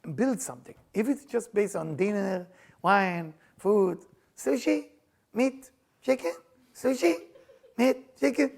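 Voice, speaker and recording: A middle-aged man with an Israeli accent, hosting a casual chat and telling personal stories in a playful, animated way, often laughing as he talks. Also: high-pitched, slow pace, gravelly. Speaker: spiritual teacher and podcast host.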